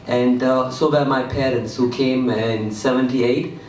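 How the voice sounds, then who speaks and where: A young man from Germany giving a personal presentation talk, halting with many fillers. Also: mid-range voice, steady tone, darkish tone. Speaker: speaker at a PechaKucha night. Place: event hall. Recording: echoey hall, mic from a distance.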